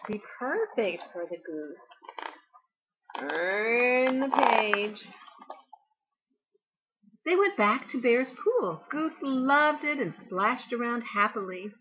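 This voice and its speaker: A woman's voice: a little high, big pitch swings, measured pace, hardly any pauses, highs cut off, slight background noise. An older woman, a grandmother, reading a storybook to a child with casual asides.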